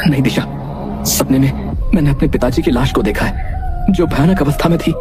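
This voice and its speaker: An actor playing an anxious, shaken young husband in a horror audio story, theatrical and stammering, with music behind him. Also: male voice, mid-range voice, fairly quick, lightly acted. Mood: worried. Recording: loud music under the voice.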